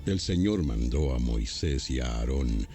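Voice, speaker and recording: Deep voiced guy narrating an audio bible, slow and solemn, lots of up and down in his reading, bit of gravel. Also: animated, dark tone, soft background music.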